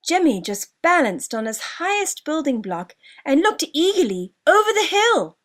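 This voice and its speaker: Female children's story narrator, high voice, very lively intonation, deliberate emphasis.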